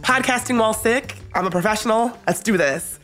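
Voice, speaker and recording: A woman co-hosting a casual podcast while sick, sounding sniffly and raspier than usual, talking in an animated, rambling way. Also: a little high, brisk, soft background music. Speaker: podcast co-host.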